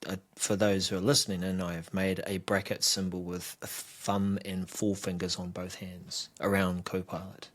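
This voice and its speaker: A low-voiced man with a New Zealand accent co-hosts a casual tech podcast. He banters with a dry, playful humor and then explains features in a relaxed, conversational way. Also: moderate pace, hardly any pauses. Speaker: co-host.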